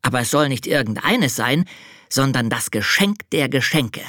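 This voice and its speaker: A narrator voicing a small, proud and excited boy angel in a children's storybook, chattering eagerly. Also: mid-range voice, moderate pace, no room sound, studio quality, pitched above the performer's own voice. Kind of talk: storybook reading.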